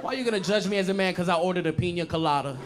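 A man delivers a brash, crude stand-up bit with punchy lines. His voice runs high over a roaring crowd and loud noise. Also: rapid-fire. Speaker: stand-up comedian. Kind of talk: stand-up set. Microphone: handheld mic.

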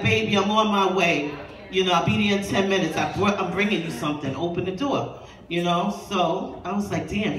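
A brash woman in her fifties tells raunchy, profane stories and keeps tossing asides to the crowd. She is recorded from far back in a roomy little club. Loud crowd noise sits behind her voice. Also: mid-range voice. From New York. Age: 50s. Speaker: stand-up comedian. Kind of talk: raunchy stand-up set. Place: small dim club with a low stage. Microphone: handheld mic, filmed by a far camera in the crowd.

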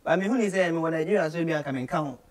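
Male guest, twenties, West African accent, slightly high pitch, clipped casual phrases mixing languages. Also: very fast. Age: 20s. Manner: short phrases with repeated "you know", mixing English and local language. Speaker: musician, interview guest. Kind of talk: casual interview chat. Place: small radio studio office, indoors.

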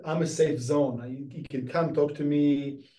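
A man's voice: on the high side, fairly even intonation, a little slow, mellow. A man in his thirties or forties hosting a casual interview in an encouraging, reflective way. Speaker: host, interviewer. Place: small indoor studio set, seated. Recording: roomy, distant mic.

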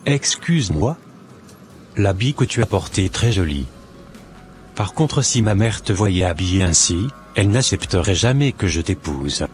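An actor playing a polite, scheming young evangelist in a cartoon drama, speaking in measured, formal phrases. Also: male voice, low voice, lively intonation, soft background music.

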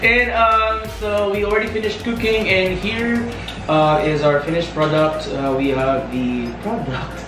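A man's voice: sits high, fairly even intonation, a little slow. Filipino man chatting in an echoey room. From Philippines. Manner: chatty, upbeat, laughs often, talks while eating. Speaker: vlogger. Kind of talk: casual food tasting chat. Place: indoors in a small room, seated at a table. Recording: echoey room from a distance with music.

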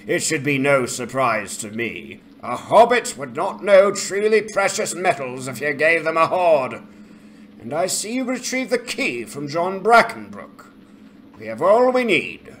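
A man voicing an old dwarf lord who speaks in a measured, formal, emphatic way in a dramatized fantasy game. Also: fairly high pitch, a bit bright, slight background noise, full character voice. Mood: pleased, scornful. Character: old dwarf lord, commanding, shrewd, faintly scornful.

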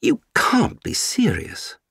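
A man voicing a high-spirited, enthusiastic young British man who speaks in lively exclamations. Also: slow pace, dry recording, studio quality. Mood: eager.

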